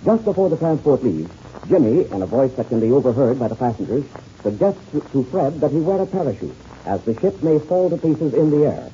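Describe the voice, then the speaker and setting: A man's voice: medium pitch, sing-song, fairly quick, mellow. A man narrating a 1930s radio serial as its announcer, in a scripted storytelling style. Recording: muffled, noisy old radio recording.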